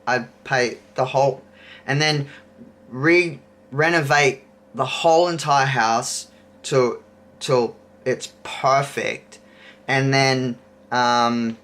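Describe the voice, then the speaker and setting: Slow-talking Australian man, bright voice. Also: medium pitch, fairly even intonation. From Queensland, Australia. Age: 30s. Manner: rambling, thinking aloud. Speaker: YouTuber host. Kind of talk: casual chat to camera.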